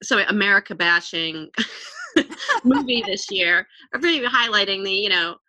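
A woman co-hosting a casual commentary chat, measured and wry, with frequent restarts. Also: medium pitch, expressive, bright, forceful tone, no echo, poor audio.

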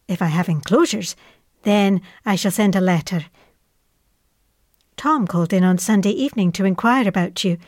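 A woman's voice: medium pitch, a little mellow, no room sound, clean studio sound. An actress playing an affectionate middle-aged mother in wartime, reading out her chatty, matter-of-fact letter to her airman son.

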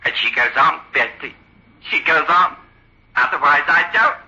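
A wicked little ventriloquist's dummy, male and menacing. The voice is highish, piercing and husky, taunting with cool insistence on a muffled old horror radio recording. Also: normal speed, pushed and bright, exaggerated voice. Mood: taunting, threatening. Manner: threatening, coolly insistent. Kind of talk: 1960s radio horror drama acting.

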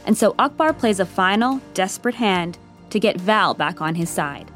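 A woman reading scripted comedy-drama narration in a measured, wry storytelling manner. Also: mid-range voice, expressive, soft background music.

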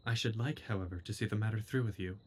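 A man playing a loyal Victorian gentleman, the detective's companion, in an audio drama, speaking earnestly and politely in a measured way. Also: on the low side, slight background noise, lightly acted.

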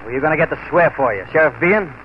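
An actor playing a grim, vengeful frontier marshal with a firm, clipped manner in a 1940s radio play. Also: male voice, fairly high pitch, animated, racing, a little hiss or hum, close to an everyday voice. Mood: cold. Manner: firm, clipped, cold resolve. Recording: muffled old radio recording.

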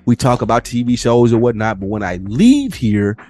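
A man's voice: mid-range voice, very animated, moderate pace, dark tone. A man co-hosting a podcast in casual conversation, slangy and profane, giving his opinions freely. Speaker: podcast co-host.

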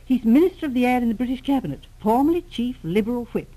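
A woman's voice: a little high, animated, fast, dark tone, poor audio. A woman playing a knowing, wry, efficient secretary in a 1940s radio drama. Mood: puzzled, wry. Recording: old radio drama recording.

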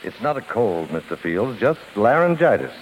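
A brisk, scolding family doctor in a 1950s radio drama. His low voice is animated and authoritative, on a faintly noisy old broadcast. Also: dull audio. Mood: firm, advising.

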